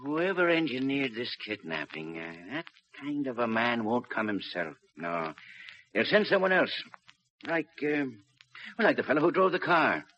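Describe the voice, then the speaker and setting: An actor playing a gloomy, emphatic veteran police inspector in a 1950s radio crime drama. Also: male voice, medium pitch, very animated, moderate pace, close to an everyday voice. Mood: brooding. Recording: muffled, noisy old radio recording.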